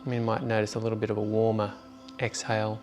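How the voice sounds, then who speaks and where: Guy doing guided meditation, pretty flat tone, takes his time w long pauses, quiet music behind. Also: fairly low pitch. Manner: informal, unhurried, with long pauses.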